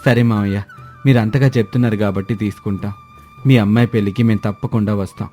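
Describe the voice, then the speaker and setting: Man as a mellow, humble young village husband. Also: fairly low pitch, steady tone, fast, faint music behind, close to an everyday voice. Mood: respectful, warm.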